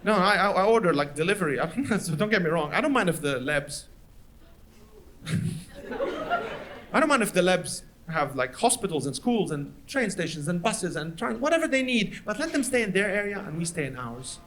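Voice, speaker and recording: A man from Lebanon with a Lebanese accent, doing animated stand-up storytelling on stage and acting out the people in his story. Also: high-pitched, moderate pace, noisy background. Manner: animated, acts out voices of others in anecdotes. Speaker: stand-up comedian. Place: stage before a live audience.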